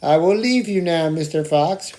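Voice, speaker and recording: A woman's voice: on the low side, expressive, normal speed, close to an everyday voice. A woman voicing a friendly, teasing little bird in a children's puppet show, with simple singsong lines. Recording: clearly noisy home recording.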